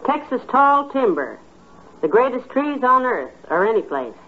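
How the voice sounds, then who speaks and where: A woman's voice: on the high side, big pitch swings, normal speed. A woman playing a patient, teasing middle-aged wife with a dry, needling manner in a 1950s radio comedy. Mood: wry teasing. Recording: noisy, tinny old radio recording.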